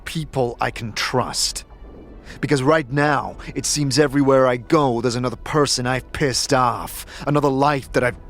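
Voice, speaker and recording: A man's voice: mid-range voice, moderate pace, fairly bright tone, faint music behind, lightly acted. A man voicing a weary, guarded immortal adventurer in a fantasy audio drama. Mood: weary, frank.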